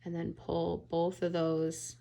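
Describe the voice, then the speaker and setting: A woman giving a relaxed, step-by-step knitting tutorial, often checking in with "all right?". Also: lowish voice, slow, gravelly. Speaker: knitting instructor.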